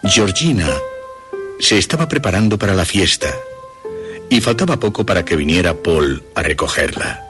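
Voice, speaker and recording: A man narrating a scripted suspense story as its storyteller. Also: low-pitched. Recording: music behind the voice. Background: music.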